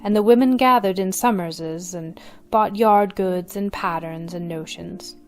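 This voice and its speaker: A woman narrating a radio drama in a solemn, deliberate manner. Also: medium pitch, faint music behind, lightly acted.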